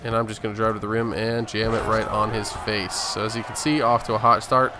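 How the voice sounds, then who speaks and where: A man's voice: on the low side, level tone, a little fast, background noise. A man giving casual, boastful gameplay commentary, narrating each move as a gaming video host.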